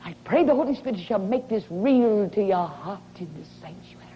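A woman preaching a revivalist sermon in a dramatic, emphatic manner, drawing out words and dropping into breathy whispers, in a sanctuary. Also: medium pitch, animated, normal speed. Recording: dull, noisy lo-fi archival sermon recording.

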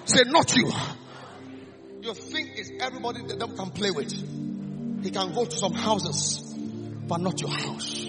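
A man with a Ghanaian accent preaching a sermon, calling out lines for the congregation to repeat, dropping low and then rising to shouts. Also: lively intonation, moderate pace, pauses often, over loud music. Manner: fiery call-and-response preaching, swings from hushed to shouting.

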